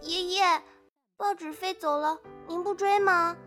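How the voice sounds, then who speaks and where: A woman's voice: very high voice, fairly even intonation, a little slow, fairly bright tone. A woman playing a curious, earnest little child in an audio drama, full of questions. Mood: excited. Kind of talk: Chinese audio drama acting. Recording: background music behind the voice.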